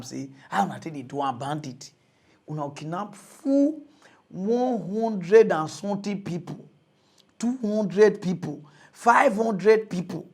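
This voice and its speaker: A young Nigerian man hosting a mock news comedy show, ranting in a mocking, animated way and laughing often. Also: high voice. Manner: animated, mocking, laughs often, switches to loud excited outbursts. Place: studio with green screen.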